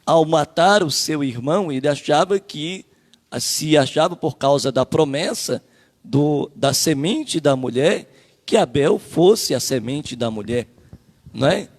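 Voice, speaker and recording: A man's voice: a little high, lively intonation, a little slow. A man with a Brazilian accent preaching a Bible lesson, emphatic and repetitive, with rhetorical questions, in a reverberant hall. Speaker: church preacher leading the class.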